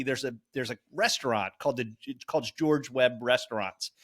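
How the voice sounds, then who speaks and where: A man's voice: mid-range voice, a bit bright. A man co-hosting a podcast, telling stories in a rambling casual way with many fillers and self-corrections. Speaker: podcast co-host. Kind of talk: casual chat.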